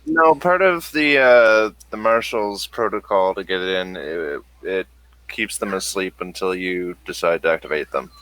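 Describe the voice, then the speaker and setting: Male game master, low-ish voice, halting delivery. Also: fairly bright tone, poor audio. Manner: halting, many ums and restarts. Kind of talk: running a tabletop game over voice chat.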